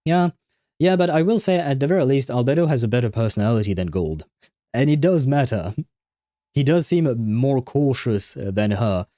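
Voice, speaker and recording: A man's voice: lowish voice, warm tone, no echo. A man chatting casually on a gaming livestream, rambling and thinking aloud as he goes. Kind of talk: casual livestream chat about a game.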